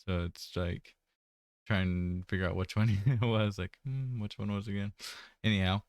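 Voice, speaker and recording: A man's voice: on the low side, fairly even intonation, moderate pace, clear, resonant tone, dry recording, clean studio sound. A man giving a casual personal update, rambling and hesitant, often trailing off mid-thought. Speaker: vlogger.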